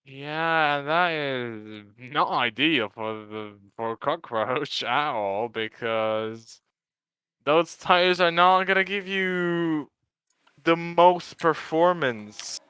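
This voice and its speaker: A man's voice: fairly high pitch, drawn-out words, bright, forceful tone, smooth, dry recording, clean studio sound. A man whose first language is Bosnian, chatting casually as a co-commentator on a sim racing livestream and laughing easily. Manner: chatty, laughs easily, jumps in over others.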